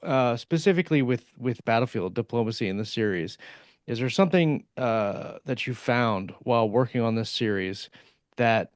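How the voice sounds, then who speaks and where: A man co-hosting a podcast, asking casual interview questions in a halting way as he searches for words. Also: medium pitch, animated, no echo, clean studio sound. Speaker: podcast co-host.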